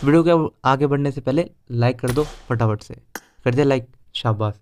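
A man's voice: medium pitch, normal speed, darkish tone, slight background noise. A young man with an Indian accent giving casual, chatty game walkthrough commentary as a video host. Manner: informal, chatty, mixes Hindi with English words.

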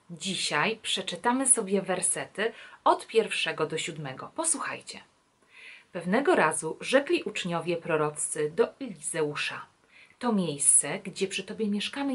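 Woman in her thirties, Bible lesson presenter, storytelling read-aloud, animated pitch, addressing children. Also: slightly rough. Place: small indoor room at home.

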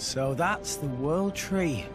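An animated, high young boy hero, earnest and upbeat, in video game style. Loud music plays behind him. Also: takes it slowly. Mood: awed. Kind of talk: video game character acting.

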